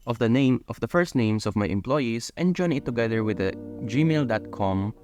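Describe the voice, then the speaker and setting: A man's voice: mid-range voice, moderate pace, faint music behind. Filipino young man explaining spreadsheet steps. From Philippines. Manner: steady explanatory step-by-step delivery. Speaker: tutorial host. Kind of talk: screen-recorded software tutorial.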